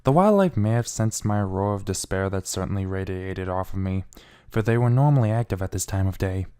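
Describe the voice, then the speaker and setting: A man's voice: low voice, expressive, a little fast, dark tone, clear, resonant tone. A man giving a brooding, theatrical first-person reading of a fan fiction story. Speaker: amateur fan fiction reader.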